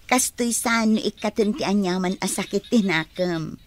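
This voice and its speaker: A woman playing a gentle woman in love in a radio drama, with a husky, breathy voice. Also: medium pitch, moderate pace, a little hiss or hum, lightly acted. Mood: amused, reflective. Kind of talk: Ilocano radio drama acting.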